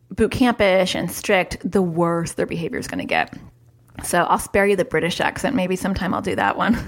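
A woman hosting a solo podcast, talking in a chatty, upbeat way with lively jumps in pitch. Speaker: podcast host, parent.

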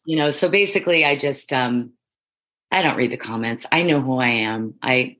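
A middle-aged woman with a low, husky voice, chatting as an interview guest in a rambling, emphatic, self-affirming way. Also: normal speed, a bit bright. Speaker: reality show contestant guest. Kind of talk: casual interview chat.

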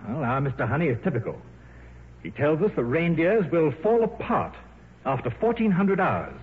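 An actor playing a gruff, sardonic senior English boss in a 1950s radio drama, brusque and commanding. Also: male voice, a little high, sing-song, moderate pace, narrow, tinny audio. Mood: sardonic, exasperated. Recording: thin, band-limited 1950s radio recording.

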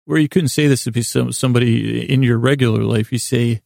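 A man hosting a podcast with a meandering, halting bedtime monologue, often trailing off mid-thought in a gravelly voice. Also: mid-range voice, steady tone, a little mellow, no room sound, studio quality. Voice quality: very creaky, gravelly voice. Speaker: podcast host. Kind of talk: rambling bedtime storytelling monologue.

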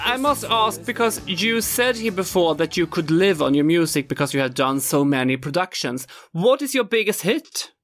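A man's voice: sits high, animated, normal speed, hardly any pauses, a bit bright, faint music behind. A man hosting a podcast interview, friendly, with many short affirmations. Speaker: podcast host. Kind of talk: casual interview.

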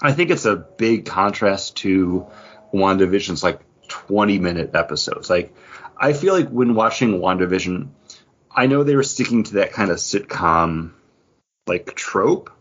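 Husky low-voiced guy, rambling casually. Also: animated, a bit bright, highs cut off. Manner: rambling, halting, peppered with "like". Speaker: podcast host. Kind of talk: casual chat.

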